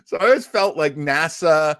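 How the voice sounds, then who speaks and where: A man's voice: fairly high pitch, animated, normal speed, a bit bright, no room sound, clean studio sound. An older man chatting casually as a podcast host in a fan discussion, with a self-deprecating manner.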